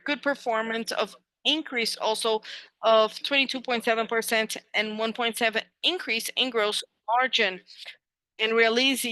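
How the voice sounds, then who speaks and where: A woman working as a live interpreter on a corporate earnings call, delivering it haltingly, phrase by phrase. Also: a little high, pushed and bright, no room sound, poor audio.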